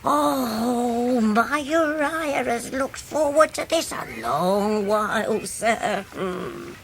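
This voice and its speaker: An actress with an English accent playing a fawning, falsely humble older widowed mother in a radio drama, with an obsequious, drawn-out manner. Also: a little high, expressive, full character voice. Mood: gushing humility. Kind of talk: 1990s British radio drama acting. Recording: noisy radio drama recording.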